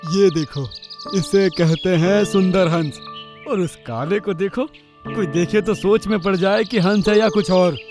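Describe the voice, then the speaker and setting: A man's voice: high voice, a little slow, over music. A man playing a vain, scornful father swan in a cartoon story, sneering and boastful. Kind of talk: animated moral story dialogue.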